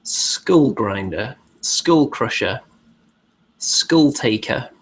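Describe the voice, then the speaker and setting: A man's voice: medium pitch, animated, extremely slow, slightly rough, low-quality recording. A man co-hosting a hobby show in casual conversational chat.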